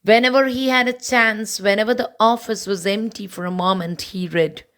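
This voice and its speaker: A woman's voice: medium pitch, steady tone, moderate pace, fairly bright tone. A woman reading a children's picture book aloud as a storybook narrator. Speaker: storybook reader.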